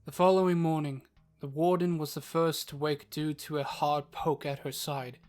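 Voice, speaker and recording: A woman narrating a scripted fantasy audio tale in a measured, dramatic storytelling manner. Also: low voice, steady tone, darkish tone. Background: background music.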